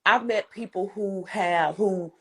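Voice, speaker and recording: A woman's voice: mid-range voice, slow, breathy edge. A woman with a strong voice giving earnest advice in a casual chat, repeating her points for emphasis. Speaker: guest in livestream chat.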